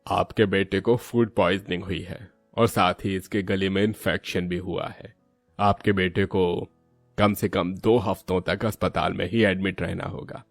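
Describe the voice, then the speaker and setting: A man voicing a grave doctor with a serious, measured manner in a cartoon story. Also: sits low, steady tone, creaky, dry recording, soft background music. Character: grave male doctor giving a diagnosis.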